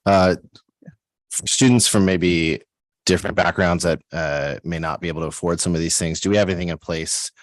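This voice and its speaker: A man's voice: low voice, fairly even intonation, fairly quick, no echo, rough recording. A man on a school board asking a careful question at a public meeting, hesitant and thoughtful.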